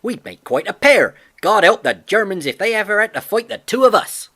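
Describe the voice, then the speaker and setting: An eager, devoted teenage farm boy, as a male reader puts him on, with a raised, lively voice that swings up and down. His tone is bright with a husky edge, earnest and full of boyish warmth. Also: high voice, moderate pace, pitched above the performer's own voice, exaggerated voice. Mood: dreamy. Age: 15 (figure). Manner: warm, earnest, boyish enthusiasm. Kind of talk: audiobook character voice.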